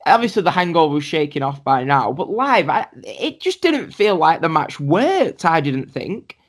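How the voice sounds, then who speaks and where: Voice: medium pitch, sing-song, normal speed. A podcast host, chatting casually and running through a list of matches with asides to the co-hosts. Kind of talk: casual wrestling podcast chat.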